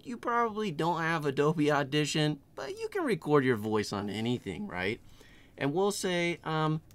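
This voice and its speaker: A middle-aged man hosting a casual screen-share software tutorial in a tongue-in-cheek, playfully exaggerated way. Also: a little high. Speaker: tutorial host.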